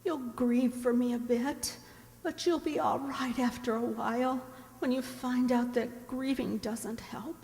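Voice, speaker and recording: Woman acting a slow-spoken, tender dying young woman. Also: on the high side, fairly even intonation, vocal fry, darkish tone. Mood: earnest, reassuring. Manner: slow, tender, earnest delivery. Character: dying young tavern girl, tender, devoted and earnest. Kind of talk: stage-like radio drama acting. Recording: long hall reverb.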